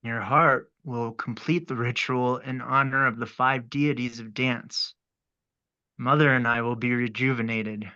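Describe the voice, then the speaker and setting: A polite but sinister ritual killer from a horror script played by a man. His mid-pitched voice is measured and formal, calmly threatening, on a dry, slightly muffled lo-fi recording. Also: no room sound. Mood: calmly menacing. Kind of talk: horror script table read.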